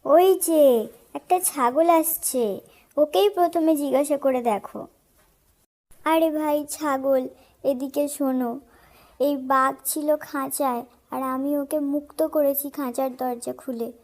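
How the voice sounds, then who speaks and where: A woman's voice: high-pitched, fairly even intonation, slow pace, darkish tone. A woman voicing a kindly girl who pleads and coaxes in a children's cartoon fable. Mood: pleading, coaxing. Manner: pleading, coaxing. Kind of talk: children's animated fable dialogue.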